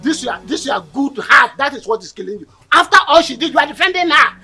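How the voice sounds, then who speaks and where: A woman's voice: high voice, expressive, fast talker, pushed and bright, soft background music, heavily acted. An actress playing an angry, mocking husband who threatens and orders a woman out. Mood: scornful. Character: angry husband throwing out a gossip.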